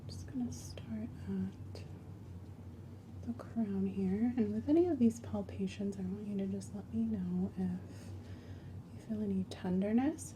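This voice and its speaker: Woman, ASMR medical exam roleplay, breathy whisper and soft talk, gentle step-by-step instructions, mid-range voice, audible noise behind. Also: medium pitch, low-quality recording. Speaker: ASMR host playing a clinical examiner.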